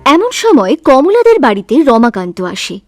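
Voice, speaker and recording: A woman narrating a cartoon folk story in a plain, expressive way. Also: sits high, moderate pace, no echo, clean studio sound, faint music behind.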